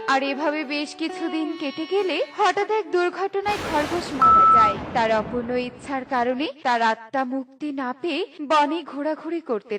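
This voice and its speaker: A woman reading scripted narration for a children's cartoon story. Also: high-pitched.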